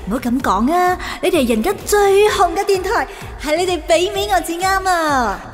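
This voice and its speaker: A woman's voice: extremely high pitch, animated, moderate pace. An actress playing a glamorous female ghost pop idol in a Cantonese radio drama. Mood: gushing, sweet. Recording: background music under radio drama.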